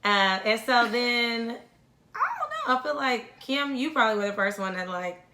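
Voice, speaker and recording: A woman co-hosting a podcast, telling her side of the story in casual chat, laughing often and dropping softer when she reflects. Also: a little high, expressive, moderate pace. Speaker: podcast co-host. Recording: roomy echo.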